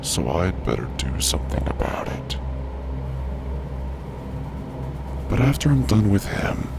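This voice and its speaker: A man acting out a murderous, obsessive madman narrating a horror story. The voice is extremely low and full of gravelly fry, often sinking into a breathy half-whisper. The delivery is menacing and deliberate, over a clearly noisy background. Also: big pitch swings, exaggerated voice. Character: deranged murderous male narrator, paranoid and obsessive. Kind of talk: horror audio drama monologue.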